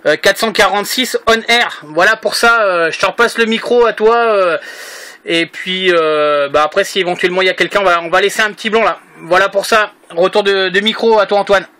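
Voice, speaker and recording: A high-pitched man speaks through a two-way radio. His voice is bright and sharp, and he chats in an easygoing, halting, rambling way. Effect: heard through a two-way radio. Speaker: amateur radio operator. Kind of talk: casual radio contact chat.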